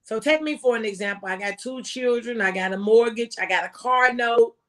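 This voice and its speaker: A woman's voice: on the high side, fairly quick, fairly bright tone. An American woman speaking as a former tax agent, giving folksy, emphatic advice and repeating phrases for stress. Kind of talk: impassioned conversational tax advice.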